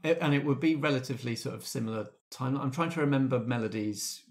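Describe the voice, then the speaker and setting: A game master with a medium-pitched voice leads a casual group discussion. The talk is conversational, addressed to fellow players. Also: fast talker, darkish tone.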